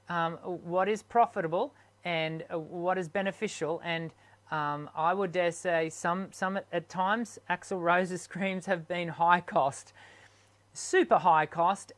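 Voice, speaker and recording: A man's voice: high-pitched, a bit bright. Hesitant man hosting live. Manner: hesitant, many ums and restarts. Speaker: vocal coach hosting a live stream. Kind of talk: unscripted live stream Q&A.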